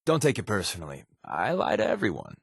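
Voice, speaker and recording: An actor playing a wry, secretive undercover rebel agent in a video game, with casual, sardonic, slangy delivery and a husky voice. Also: male voice, low-pitched, wildly swooping pitch, fast, no echo, studio quality, full character voice. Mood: wry, evasive. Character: wry, secretive undercover rebel agent, adult man, easygoing but guilt-ridden. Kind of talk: conversational video game character dialogue.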